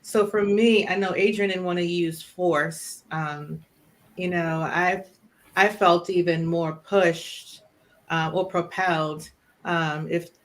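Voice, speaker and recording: A woman with a Trinidadian accent, a guest calmly telling personal stories, with plenty of "you know" and "um" along the way. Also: lowish voice. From Trinidad and Tobago. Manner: unhurried, with many ums and "you know" fillers. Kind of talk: casual personal storytelling.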